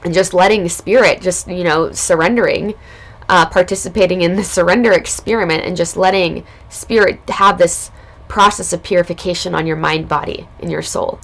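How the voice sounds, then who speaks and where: A woman recovering from illness, her voice hoarse and raspy, telling a personal spiritual story in a casual, loose way as a podcast host. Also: on the low side, steady tone, normal speed, fairly bright tone. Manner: casual, loose, spiritual talk with many fillers.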